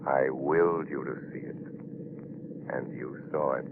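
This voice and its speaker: An actor playing an unseen, taunting avenger in 1930s radio drama, menacing and deliberate like a voice of conscience. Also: male voice, rumbling low, fairly even intonation, gravelly, exaggerated voice. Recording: noisy 1930s radio broadcast, narrow band.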